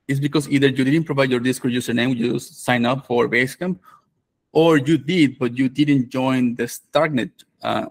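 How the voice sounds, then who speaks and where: Male host, 30s, video-call mic, casual and chatty. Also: mid-range voice. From Venezuela. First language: Spanish. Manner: relaxed, many fillers and restarts. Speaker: developer advocate and course host. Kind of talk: live online course introduction.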